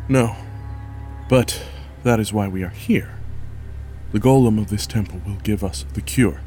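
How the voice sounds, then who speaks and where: A man's voice: fairly low pitch, measured pace, dark tone, lightly acted. Man playing a proud, commanding fantasy king, music behind. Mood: grand, intent. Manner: commanding, coaxing, theatrical. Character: proud, persuasive king, husband to an expectant wife. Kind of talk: fantasy horror audio drama acting.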